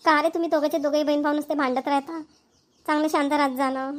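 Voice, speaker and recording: High, quick-talking scolding village mother, played by a woman. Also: fairly even intonation, natural voice. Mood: nagging. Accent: Maharashtrian, Vidarbha Marathi. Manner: nagging, scolding. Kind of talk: cartoon family comedy dialogue.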